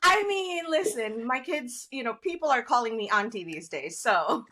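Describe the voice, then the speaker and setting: A woman from India in her forties, a guest in a casual conversation, telling stories in an animated way with frequent laughter. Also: a little high, moderate pace, crowd noise.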